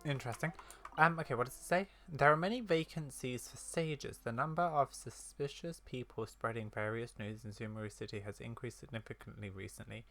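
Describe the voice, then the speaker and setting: A game streamer with a bright, punchy voice at a middling pitch. They talk casually through the game, reading text out and thinking aloud as they react. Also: fairly quick. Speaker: gaming streamer.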